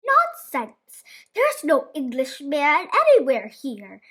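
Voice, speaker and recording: A woman voicing the giant's kind, flustered wife in a storybook read-aloud, exclaiming her lines excitedly. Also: high voice, slow, full character voice. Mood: dismissive.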